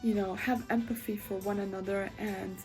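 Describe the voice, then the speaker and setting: A woman's voice: mid-range voice, level tone, normal speed, a little mellow, soft background music, poor audio. Chatty young woman. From Sarajevo, Bosnia and Herzegovina. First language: Bosnian. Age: young adult. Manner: casual, chatty, with frequent fillers and restarts. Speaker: university student. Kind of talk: reflective video monologue.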